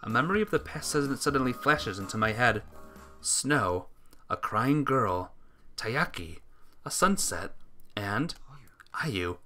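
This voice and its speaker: A man's voice: medium pitch, expressive, measured pace, bright, forceful tone, soft background music. A man streaming a visual novel, reading the text aloud dramatically and chatting casually with viewers.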